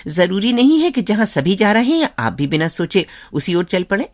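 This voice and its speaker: A woman's voice: medium pitch, sing-song, normal speed. A woman hosting a radio show in a scripted presenting style.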